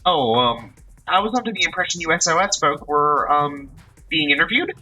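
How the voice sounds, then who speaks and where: A man's voice: animated, normal speed, bright, forceful tone, soft background music, heavily acted, rough recording. A man doing a bubbly young woman. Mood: hesitant. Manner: high, bubbly, insistent. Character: cheerful eager young woman writer.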